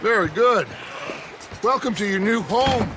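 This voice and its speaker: An actor playing a commanding middle-aged American outlaw gang leader in a video game, theatrical and oratorical, bent on vengeance. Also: male voice, high-pitched, expressive, measured pace, heavy background noise. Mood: smug, menacing. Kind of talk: dramatic video game acting.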